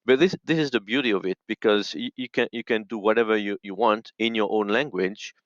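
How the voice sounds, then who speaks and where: A man who is a non-native English speaker, answering conversationally as a guest in halting, restarted phrases. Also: on the low side, no echo. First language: not English, non-native speaker. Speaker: audio and radio expert, podcast guest. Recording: dull, lo-fi call audio.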